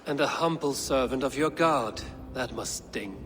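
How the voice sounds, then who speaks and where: An actor playing a bold pagan Viking warrior with a sardonic, direct manner in a video game. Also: male voice, medium pitch, unhurried, fairly bright tone, soft background music, natural voice. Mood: confrontational. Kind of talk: dramatic video game dialogue.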